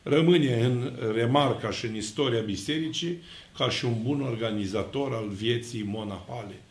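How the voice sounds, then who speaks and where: A middle-aged man from Romania, a priest, giving an emphatic sermon with slow, stressed words. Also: mid-range voice. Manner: emphatic preaching with slow, stressed words and lively hand gestures. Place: church with icons, indoors. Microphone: fixed camera, mid shot. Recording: echoey church room.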